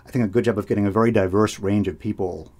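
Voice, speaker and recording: A man's voice: on the low side, steady tone, very fast, a little mellow. A middle-aged man from the United States, talking casually in an interview, rambling with restarts, fillers and frequent laughs. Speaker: radio host, interviewee.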